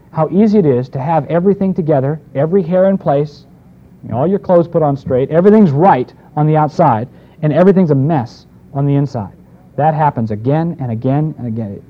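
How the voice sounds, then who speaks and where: A man teaching through a Bible passage in a conversational way, with restarts and asides. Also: on the high side. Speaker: preacher. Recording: dull lo-fi recording.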